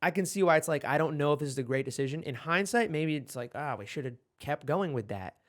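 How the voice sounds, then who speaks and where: A man's voice: a little high, expressive, quick pace, a bit bright. A man in his twenties co-hosting a podcast, talking casually and rambling with many restarts. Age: 20s. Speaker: podcast co-host. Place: in Los Angeles.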